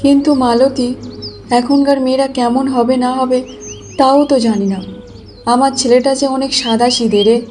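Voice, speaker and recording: A woman voicing a kindly, weary village mother in a cartoon, gently coaxing and advising. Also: high voice, steady tone, measured pace, soft background music. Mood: chatty, concerned. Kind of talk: Bengali cartoon voice acting.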